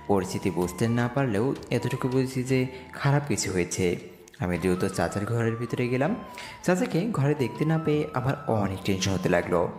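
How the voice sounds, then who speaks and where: Brief: male actor as humble, earnest young slum lad, animated delivery, heavy reverb, music underneath, romantic audio drama. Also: fairly low pitch, close to an everyday voice. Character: poor young slum boy, humble, earnest, narrating his story. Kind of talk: romantic audio story narration and dialogue. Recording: echoey reverb with music bed.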